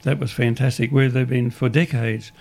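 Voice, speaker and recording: Australian male community radio host, gravelly and croaky, rambling, upbeat announcements. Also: mid-range voice, fairly even intonation, moderate pace, darkish tone. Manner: rambling, restarts often, upbeat.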